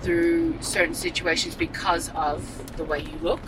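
A young woman, an actor and writer, talking candidly in a casual interview and telling animated stories with many fillers and restarts. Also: on the low side, measured pace, background noise. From Australia.

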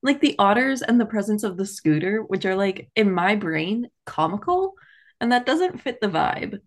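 A woman's voice: medium pitch, lively intonation, normal speed. Casual chatty woman co-hosting a podcast. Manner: conversational, heavy use of "like", candid. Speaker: book podcast co-host. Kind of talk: casual book chat.

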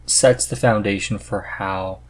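A man with a fairly low voice hosts a casual podcast. He talks earnestly and with enthusiasm, in a loose conversational style. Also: steady tone, a little slow, slight background noise. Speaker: podcast host.

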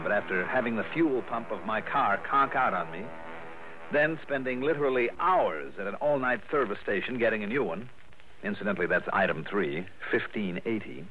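A man's voice: fairly low pitch, natural voice. Guy playing cool american detective narrator, hardboiled, tinny hissy old radio recording. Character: cool, crisp insurance investigator, hardboiled and unflappable. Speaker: lead and narrator.

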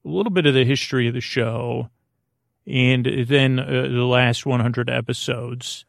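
A man with a creaky voice hosting a bedtime podcast in a soothing, rambling way, halting and drifting off on tangents. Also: medium pitch, fairly even intonation, no echo, studio quality. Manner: meandering, halting, repeats and trails off; soothing, lulling. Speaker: podcast host.

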